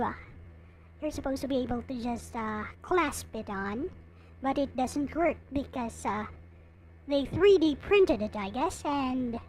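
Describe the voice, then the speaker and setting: A woman giving a casual, unscripted show-and-tell as a vlogger, in a halting way as she thinks aloud. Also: sits high, a little mellow. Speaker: vlogger showing collectible figures.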